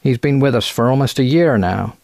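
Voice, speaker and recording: A man's voice: mid-range voice, brisk, gravelly, a little mellow, no echo, studio quality, voice pushed up, lightly acted. A man voicing an eager, chatty American physician with a quacking voice and folksy, clipped phrases in an audiobook. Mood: brisk, earnest. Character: thin, eager American physician running a sanitarium.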